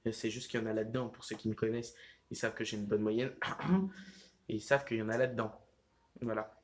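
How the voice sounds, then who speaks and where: A man's voice: fairly low pitch, fairly quick. Rambling teenage boy vlogging at home. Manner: rambling, heavy use of fillers like "genre" and "euh". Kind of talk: casual vlog chat.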